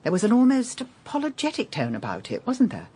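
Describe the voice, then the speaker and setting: An actress playing a poised, middle-aged English lady detective in a radio drama, measured and probing with a wry touch. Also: fairly high pitch, wildly swooping pitch, mellow, husky, heavily acted. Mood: brisk, musing.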